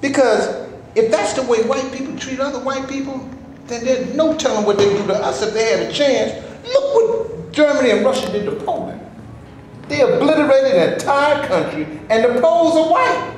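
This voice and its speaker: A man's voice: extremely high pitch, lively intonation, normal speed. An actor in a staged reading, playing an impatient, fed-up man who speaks in an impassioned, indignant way. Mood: heated. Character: fed-up, impatient man demanding his share. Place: theater before a live audience. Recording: echoey room, faint background noise.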